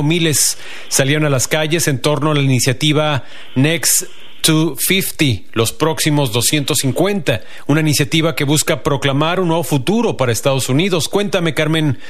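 A man hosting a call-in radio program, reading the intro and then interviewing a guest in a measured announcer's manner. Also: fairly high pitch. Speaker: radio show host.

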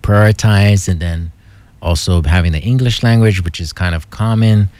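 A man's voice: deep voice, normal speed, darkish tone, no echo. A man from Hawaii, thinking aloud in a casual explanatory monologue, hesitant, with many fillers and restarts.

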